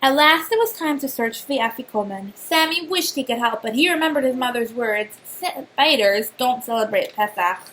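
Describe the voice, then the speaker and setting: A woman reading a children's storybook aloud like a teacher, expressive and pausing to put questions to young listeners. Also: high-pitched.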